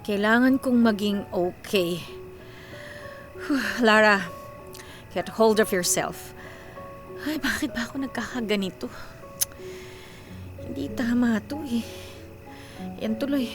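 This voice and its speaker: A woman's voice: a little high, slow pace, pauses often, music in the background. A woman playing an anxious, self-sacrificing young breadwinner in a radio drama, with a Filipino accent. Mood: composed, mildly scolding. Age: young adult. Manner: talks to herself, code-switches into English.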